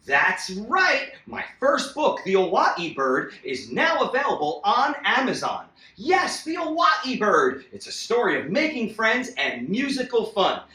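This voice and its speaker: A man's voice: high voice, sing-song, measured pace, hardly any pauses, sharp tone, roomy sound, poor audio. A man hosting for young children with an exuberant, sing-song presenting manner. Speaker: host and children's entertainer. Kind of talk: children's show presenting and promotion.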